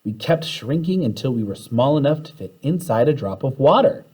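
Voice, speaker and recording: Animated, mellow man reading a children's story. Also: mid-range voice, normal speed, slightly rough. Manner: expressive, dramatic storytelling. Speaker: story reader. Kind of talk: children's read-aloud narration.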